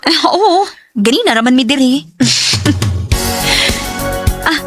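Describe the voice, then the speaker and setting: Lively, very high-pitched young female friend. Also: takes it slowly, no echo, faint music behind. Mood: playful, tipsy. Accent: Cebuano Filipino. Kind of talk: Cebuano radio drama acting.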